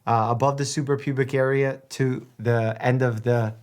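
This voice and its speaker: A man, a doctor, gives amused reaction commentary and explains the medicine behind each clip in a clinical tone. His voice sits at a middle pitch. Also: steady tone, moderate pace, a little mellow.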